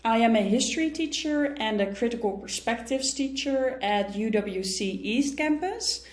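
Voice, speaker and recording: A woman in her thirties with a Dutch accent, a history teacher giving a pre-recorded conference talk in a measured way, with frequent ums and uhs. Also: fairly high pitch, animated, echoey room. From Netherlands.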